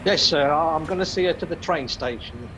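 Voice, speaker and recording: Noisy recording, man playing a high-voiced detective sergeant. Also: brisk, natural voice. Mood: deferential. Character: solicitous young male detective sergeant, furtively protective. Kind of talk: live radio play acting.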